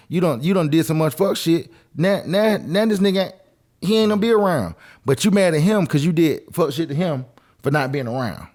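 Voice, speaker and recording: A man's voice: fairly high pitch, a little mellow. A man talking casually and with strong opinions on a podcast, emphatic and repeating phrases for effect. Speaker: podcast co-host.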